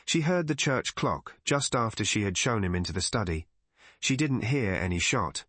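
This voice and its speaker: A man's voice: lowish voice, lively intonation, moderate pace, a bit bright, no echo. A man reading a scripted mystery story as an audiobook narrator.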